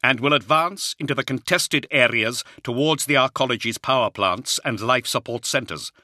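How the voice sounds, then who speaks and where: A man voicing a commanding, grim warrior leader in an audiobook, giving curt, measured orders. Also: medium pitch, sharp tone, no room sound, clean studio sound, voice pushed up. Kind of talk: audiobook character acting.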